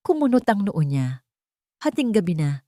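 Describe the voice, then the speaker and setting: A woman narrating a scripted romance drama in a calm, measured way. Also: mid-range voice, no echo, clean studio sound.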